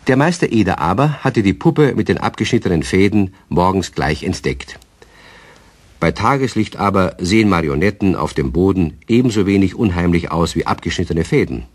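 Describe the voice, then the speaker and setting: Male narrator, low voice with lively melody, dry close sound, storybook radio play. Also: normal speed, no room sound. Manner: calm, expressive storytelling.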